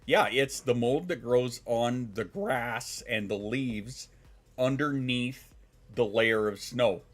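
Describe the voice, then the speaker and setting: A man's voice: medium pitch, slow pace. A man in his thirties, congested and sniffling, chatting casually on a live stream, rambling, swearing and reacting to chat. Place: home.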